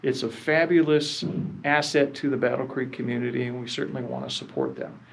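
A man's voice: medium pitch, lively intonation, a little fast, vocal fry, slight background noise, low-quality recording. A middle-aged man closing out his video, talking directly to camera in a friendly way. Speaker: video host. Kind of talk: channel outro. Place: small indoor room.

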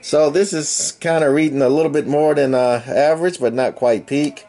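A man giving a casual, unscripted hands-on demonstration of hobby radio gear, explaining it in a halting, matter-of-fact way. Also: a little high, steady tone, warm tone. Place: indoors at a home radio bench. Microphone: handheld camera mic, speaker behind camera.

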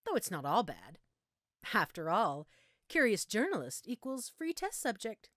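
A woman's voice: medium pitch, huge pitch swings, a little slow, fairly bright tone, no echo, studio quality, full character voice. A woman playing a menacing, gloating female mad scientist in an audio roleplay, with a theatrical, taunting manner. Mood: gloating, explaining. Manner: mocking, theatrical, taunting endearments. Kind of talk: audio roleplay acting addressed to listener.

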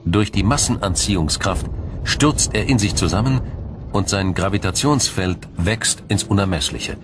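A man reading scripted documentary narration in a measured, explanatory manner. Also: lowish voice, expressive, background noise.

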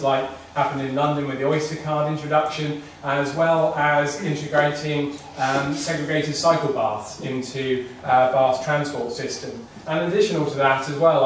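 A young man gives a campaign speech at a public meeting, pressing on through frequent ums and uhs. His voice is somewhat high for a man. He is heard from across a very echoey room, with faint noise under it. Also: far from the mic. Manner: fluent with many filler ums and uhs. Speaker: parliamentary candidate. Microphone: far room camera.

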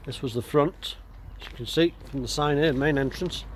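A man giving casual walkaround commentary as a vlogger, halting and thinking aloud as he goes. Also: fairly high pitch. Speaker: vlogger exploring an abandoned building. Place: outdoors, walking around a building. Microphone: handheld camera mic. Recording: outdoor ambient noise.

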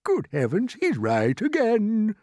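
A grand old male grasshopper from a storybook, acted out by a reader. He is affectionate and very polite, exclaiming in a lifted, animated voice with a dry, close sound. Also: medium pitch, measured pace, dry recording, voice pushed up. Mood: delighted. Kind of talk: storybook character voice.

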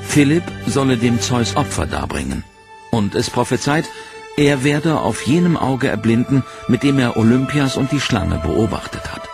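Gravelly male documentary narrator over music. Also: medium pitch, sing-song. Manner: dramatic, measured storytelling.

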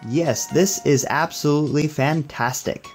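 A man's voice: on the high side, measured pace, soft background music. A young man giving a casual hands-on tech demo in an upbeat, approving manner. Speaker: tech reviewer.

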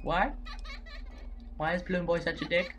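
A man's voice: a little high, level tone, normal speed, a bit bright. A young man in casual let's play chatter, jumpy and cheeky as he grumbles at the game. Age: young adult. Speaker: guest gamer.

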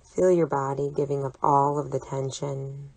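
Female meditation guide, low register, gently instructive and deliberate, in a dry, echo-free recording. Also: flat delivery. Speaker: psychologist leading a relaxation exercise. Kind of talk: guided imagery meditation narration.

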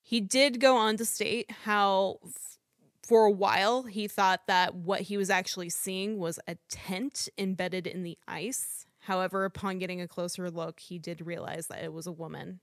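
A woman's voice: medium pitch, steady tone, moderate pace, no room sound, clean studio sound. Woman reading a true crime script. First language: English. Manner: apologetic about pronouncing foreign names. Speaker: podcast host.

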